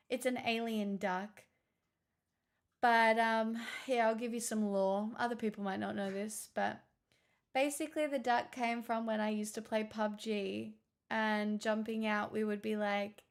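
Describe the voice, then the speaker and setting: A woman's voice: fairly high pitch, fairly bright tone. A woman livestreamer chatting casually with her viewers, playful, teasing and self-mocking. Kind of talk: casual stream chat with viewers.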